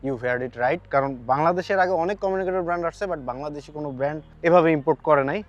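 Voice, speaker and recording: A man's voice: on the high side, very fast, dull audio. A man with a Bangladeshi accent presenting a product overview straight to viewers, mixing in English words as he promotes the gear. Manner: addresses viewers directly, mixes in English words.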